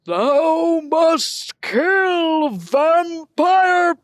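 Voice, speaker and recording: An amateur performer voicing an angry, pompous dark wizard villain who speaks in drawn-out, mock-archaic declarations in a comedic table read. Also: very high-pitched, sing-song, dry recording, clean studio sound, heavily acted. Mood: furious, commanding.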